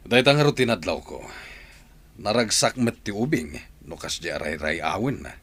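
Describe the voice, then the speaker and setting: A man playing a worried, scheming husband in an audio drama, speaking earnestly and deliberately. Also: low-pitched, animated, a bit bright, slightly rough, full character voice. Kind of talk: Ilocano audio drama acting.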